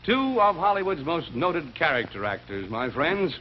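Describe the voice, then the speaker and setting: A man's voice: on the high side, very animated, moderate pace, a little hiss or hum. A man with an American accent presenting as host of a 1950s television show, on an old broadcast recording. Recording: dull 1950s TV broadcast audio, music behind.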